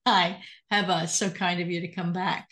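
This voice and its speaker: A woman's voice: on the low side, flat delivery, normal speed, fairly bright tone. A middle-aged woman hosting an interview podcast, asking friendly, curious follow-up questions. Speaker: podcast host.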